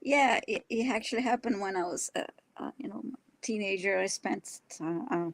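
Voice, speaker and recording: A woman co-hosting a live stream in casual chat, with a hesitant, halting way of talking. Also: mid-range voice, no room sound.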